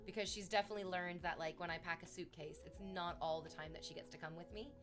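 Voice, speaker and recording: A woman's voice: on the low side, steady tone, fast, music in the background. A woman chatting casually on a livestream, rambling to her viewers and cooing at her dog in baby talk.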